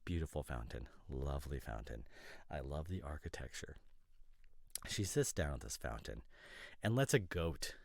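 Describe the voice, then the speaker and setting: A man playing an opinionated, nitpicking boyfriend in a casual roleplay audio drama, talking in pedantic, exasperated, halting asides. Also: rumbling low, sing-song, dry recording, clean studio sound, heavily acted. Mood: exasperated ranting. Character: opinionated, nitpicking boyfriend, a professional costume designer.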